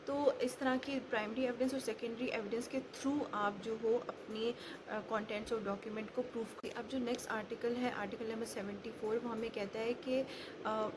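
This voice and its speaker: A woman teaches in an informal, explanatory way over clearly audible background noise. Her mid-range voice stays quite flat as she moves point by point, slipping English legal terms into her sentences and reaching for everyday examples. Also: medium pitch. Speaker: law lecturer. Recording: clearly noisy, background ambience.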